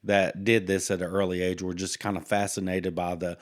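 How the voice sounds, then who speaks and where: Low-voiced, rambling man podcast co-host. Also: level tone, a little fast. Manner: rambling, hesitant, with many fillers. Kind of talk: casual true-crime podcast chat.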